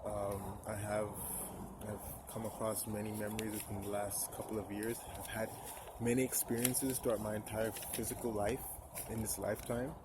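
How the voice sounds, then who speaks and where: A man in his twenties talks to camera, stopping and restarting often as he searches for words. His voice sits low and barely rises or falls. Traffic and outdoor noise run clearly under the whole recording. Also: flat delivery. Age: 20s. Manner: halting, full of pauses and restarts. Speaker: vlogger. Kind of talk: personal spiritual monologue to camera.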